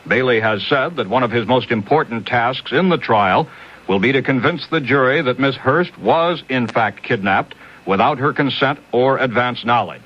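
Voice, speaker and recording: A male newscaster reads a scripted radio bulletin in 1970s style. His voice sits at a medium pitch. The recording is dull and lo-fi, taken off old AM radio. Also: moderate pace.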